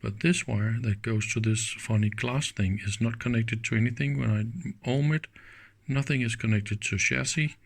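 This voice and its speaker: A man hosting a hands-on electronics teardown, thinking aloud in halting, pieced-together sentences as he examines things. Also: mid-range voice, steady tone, vocal fry, a little hiss or hum. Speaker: host of an electronics teardown channel.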